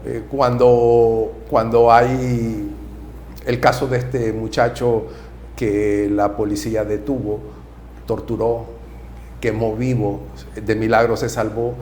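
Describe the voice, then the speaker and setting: A man from Nicaragua, a Jesuit priest, answering interview questions in a soft-spoken, measured way. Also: medium pitch, flat delivery, reverberant, slight background noise. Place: TV studio.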